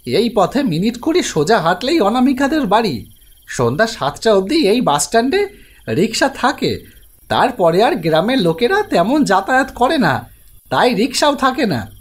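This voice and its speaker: A man's voice: high voice, big pitch swings, moderate pace, voice pushed up. A man playing an old worried tea-stall keeper. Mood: earnest. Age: older. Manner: earnest, warning, fatherly. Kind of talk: animated ghost story voice acting.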